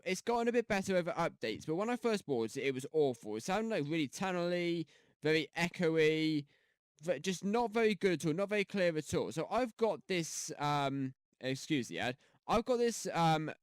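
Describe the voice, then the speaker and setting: A man's voice: a little high, dry recording. Chatty man on a headset mic. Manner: rambling, chatty, many fillers and restarts. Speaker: YouTube tutorial host. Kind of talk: casual screen-recorded tutorial. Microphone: gaming headset mic.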